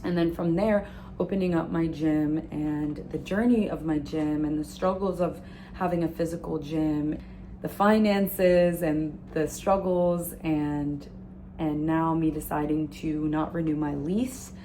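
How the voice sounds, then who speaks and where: Low-voiced young woman telling her story. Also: steady tone, a little slow, dark tone, a little hiss or hum. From Egypt. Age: young adult. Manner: candid, conversational, with emphatic asides. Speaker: gym owner and personal trainer. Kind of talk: personal storytelling vlog.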